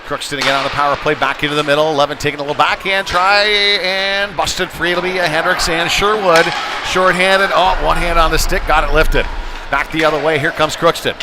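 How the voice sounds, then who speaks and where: A man's voice: a little high, fairly even intonation, fairly quick, background noise. A man doing casual, conversational live play-by-play commentary of a youth hockey game from a rink, on a headset. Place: chilly ice rink.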